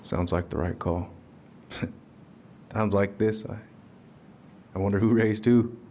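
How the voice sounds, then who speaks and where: An actor playing a gruff, protective middle-aged police chief and uncle in a video game, speaking in a measured, fatherly way. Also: on the low side, steady tone, mellow, slight background noise. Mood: softened, affectionate. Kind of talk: naturalistic video game acting. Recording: music behind the voice.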